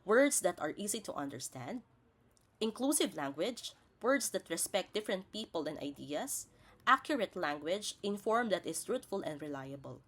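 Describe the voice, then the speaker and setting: Woman, slightly low pitch, highly varied intonation, scripted lesson narration, didactic teacher delivery.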